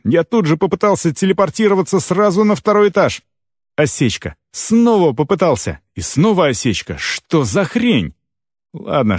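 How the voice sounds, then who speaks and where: A man reading a fantasy novel in the first person as an audiobook narrator, with an expressive, dramatic storytelling delivery. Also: on the high side, dry recording, clean studio sound.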